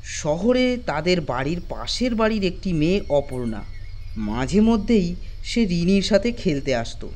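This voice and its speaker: Casting: male storyteller for animated horror tale, somewhat high voice, unhurried dramatic narration, soft music behind. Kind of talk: scripted ghost story narration.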